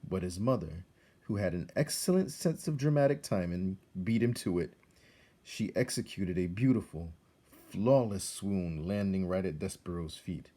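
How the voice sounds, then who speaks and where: A man reading a children's story aloud as narrator, expressive and measured, with dramatic delivery. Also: low-pitched. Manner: measured, dramatic, addresses the listener.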